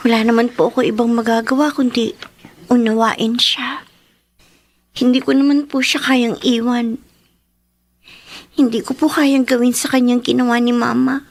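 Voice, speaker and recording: A dutiful Filipina teenage girl in a radio drama. Her voice is high and she talks very slowly, with respect and a soft heart. The sound is close and dry. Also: no room sound, heavily acted. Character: devoted, dutiful teenage daughter of troubled father. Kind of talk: Tagalog radio drama acting.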